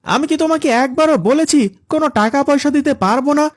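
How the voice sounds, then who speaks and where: A man's voice: very high voice, fast talker, no room sound, studio quality. A man voicing an evasive grown village son in a cartoon story, protesting and placating. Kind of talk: dramatised cartoon story dialogue.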